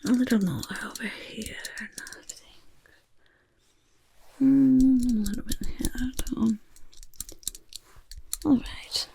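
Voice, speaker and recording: Casting: female performer for a chaotic goblin overlord, ASMR roleplay; gravelly, breathy whisper, unhurried, self-important and fussy, very close and dry. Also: on the high side, fairly even intonation, dark tone, slight background noise, full character voice. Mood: fussy, pleased. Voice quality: breathy, croaky, raspy whisper. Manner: refers to self in third person, repeats words, fussing. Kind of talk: soft-spoken ASMR personal attention roleplay. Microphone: close, dry ASMR microphone.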